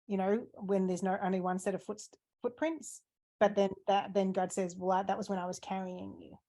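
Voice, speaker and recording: A woman's voice: medium pitch, level tone, moderate pace. A woman asking questions in a casual conversation, speaking in a heartfelt, thoughtful way. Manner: warm, emotional, thoughtful. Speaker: interviewer. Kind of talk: casual chat.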